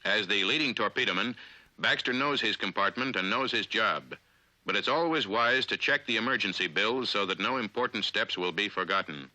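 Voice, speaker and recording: Forceful low-voiced American male narrator, 1950s film. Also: dry recording, low-quality recording. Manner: authoritative, clipped, deliberate.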